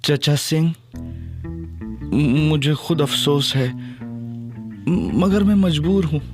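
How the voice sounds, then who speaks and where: A man's voice: fairly high pitch, very slow. Playful male narrator over music. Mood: cheeky. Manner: playful, cheeky, mock-innocent. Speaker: narrator and young hero. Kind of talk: first-person audio drama narration and acting.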